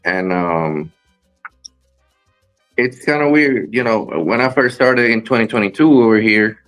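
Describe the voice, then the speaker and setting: A man from Rico, a guest wrestler chatting in an interview, halting with many fillers and restarts. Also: lowish voice, a bit bright, soft background music. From Puerto Rico. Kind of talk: casual interview chat.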